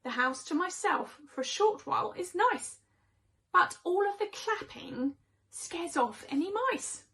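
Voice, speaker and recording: Opinionated house cat for rhyming storybook, played by a woman: high voice, conversational, gossipy, expressive. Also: unhurried, heavily acted. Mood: chatty, opinionated. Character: chatty, opinionated house cats gossiping about their humans.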